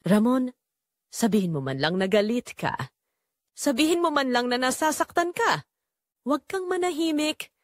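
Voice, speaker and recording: A woman's voice: high-pitched, sing-song, moderate pace, long pauses, dry recording, voice pushed up. A woman voicing a guilt-ridden mother in an audio drama, pleading emotionally. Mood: desperate pleading.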